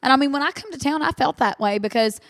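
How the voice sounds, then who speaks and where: Fast-talking woman. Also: high voice, no echo. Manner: fast, lively storytelling. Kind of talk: casual chat over drinks.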